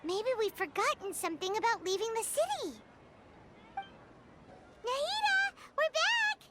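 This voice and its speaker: A tiny game fairy chirps in an extremely high, childlike squeak. Her delivery swings up and down, inquisitive and excitable. Loud noise sits behind the voice. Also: unhurried. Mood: excited. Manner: speaks of self in third person, exclamatory, questioning. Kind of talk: video game character acting.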